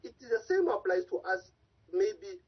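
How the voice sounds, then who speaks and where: A man's voice: sing-song, moderate pace. A man giving impassioned commentary as a guest advocate over a remote call, stressing key words with sudden rises. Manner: impassioned, rising emphatic pitch on key words. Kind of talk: live remote news interview. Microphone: remote video call link. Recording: dull lo-fi remote call audio.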